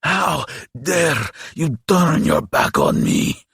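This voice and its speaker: A man voicing a frail, dying old demon for an audiobook, proud and menacing, with a croaking, hissing, breathy voice and slow, grandiose delivery. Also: fairly high pitch, wildly swooping pitch, a bit bright, dry recording, clean studio sound, voice pushed up, full character voice. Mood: menacing, demanding. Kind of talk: dramatic audiobook character voice.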